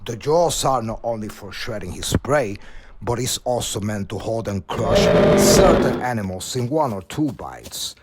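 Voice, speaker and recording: A man's voice: on the low side, animated, a little slow, heavy background noise. A man reading scripted narration in the style of a nature documentary.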